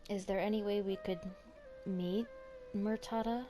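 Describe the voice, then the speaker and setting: A woman's voice: medium pitch, steady tone, measured pace. A woman streamer giving casual, informal reactions while playing a game, musing to herself. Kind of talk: casual gameplay reaction commentary. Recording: game music with guitar behind voice.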